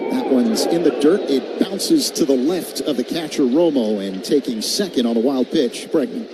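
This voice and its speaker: A man's voice: mid-range voice, animated, normal speed. An American man calling live baseball play-by-play on the radio. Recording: clearly audible stadium ambience noise. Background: ballpark ambience.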